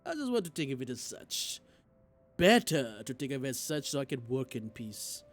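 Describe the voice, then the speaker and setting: A man reading a visual novel aloud on a livestream in an expressive, theatrical way, as a game streamer. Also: mid-range voice, moderate pace. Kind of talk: livestream playthrough, dramatic read-aloud of visual novel narration. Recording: music playing behind the voice. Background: game music.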